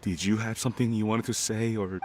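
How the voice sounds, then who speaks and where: An actor playing a reserved, proud father who talks in a gentle, halting way with awkward chuckles. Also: lowish voice, steady tone, slight background noise, close to an everyday voice. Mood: tender. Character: gruff older father, reserved but proud. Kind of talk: video game voice acting.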